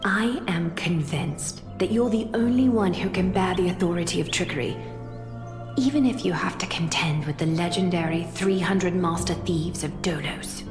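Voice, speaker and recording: A woman playing a solemn, fateful mentor in a game, with a grave, deliberate delivery. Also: on the low side, lightly acted. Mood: solemn, assured. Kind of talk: scripted game drama acting. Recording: long echoing reverb, music behind. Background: music.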